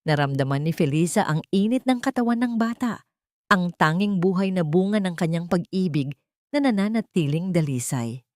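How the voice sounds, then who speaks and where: A woman's voice: fairly low pitch, animated, moderate pace, darkish tone, no echo, studio quality. A Filipino woman reading a scripted dramatic story as its narrator.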